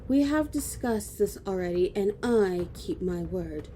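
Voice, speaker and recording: A composed elven woman played by an actress in a fantasy audio drama, dry and sardonic. The voice is very dark and mellow and moves slowly, with faint noise behind. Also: mid-range voice, expressive, close to an everyday voice.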